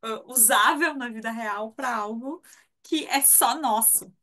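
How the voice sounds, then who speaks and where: A Brazilian woman teacher hosts a live online class on a video call. She is playful and expressive, with a fairly high voice. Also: measured pace. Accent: Brazilian Portuguese. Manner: warm-hearted, playful, acts out quotes. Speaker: course teacher and host.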